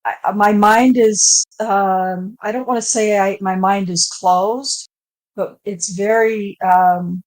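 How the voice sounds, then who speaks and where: A woman's voice: mid-range voice, fairly even intonation, takes it slowly, a little mellow. A woman speaking as a guest on a casual panel, hesitant and searching for her words.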